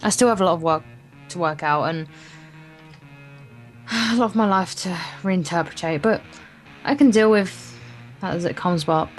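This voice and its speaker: Woman, slightly low voice, informal read-aloud of a visual novel, small stumbles, faint guitar music behind. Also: moderate pace, pauses often. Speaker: guest co-player reading the narration aloud. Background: game's guitar music.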